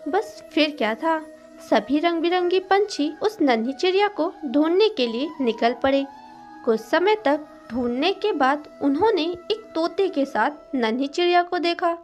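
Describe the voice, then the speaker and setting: A woman's voice: sits high, lively intonation, unhurried, faint music behind. A woman narrating a scripted moral story for children as a storyteller.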